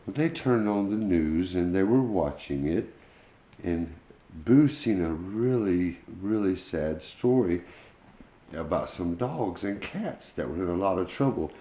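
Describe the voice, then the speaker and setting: Low-voiced mellow man telling a bedtime story. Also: expressive, unhurried, roomy sound. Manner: folksy, colloquial grammar. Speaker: pet channel host telling a bedtime story. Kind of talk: informal improvised children's storytelling.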